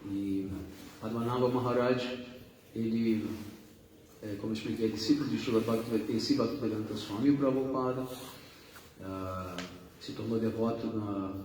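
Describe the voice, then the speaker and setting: A man's voice: on the low side, fairly even intonation, moderate pace, darkish tone. A man introducing guest speakers at a religious gathering, rambling and correcting himself, with many fillers. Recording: echoey hall, distant mic, faint hum.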